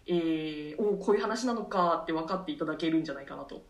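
Lower-voiced woman co-hosting, echoey room. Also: lively intonation, quick pace. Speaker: actress and radio show co-host. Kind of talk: casual explanatory talk with colleagues. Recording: echoey room, distant mic.

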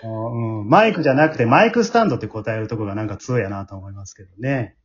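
A man's voice: lowish voice, animated, fast, a crowd in the background. A man with a Kansai accent hosting a casual round-table after-talk, often chiming in with small acknowledgements. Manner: frequent backchannels and chuckles. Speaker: host. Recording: dull, lo-fi sound.

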